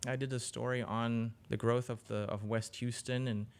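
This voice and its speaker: Clear-voiced man, halting interviewee. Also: medium pitch, flat delivery. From Germany. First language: German. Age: 40s. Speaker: interview guest, business journalist. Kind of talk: casual interview conversation.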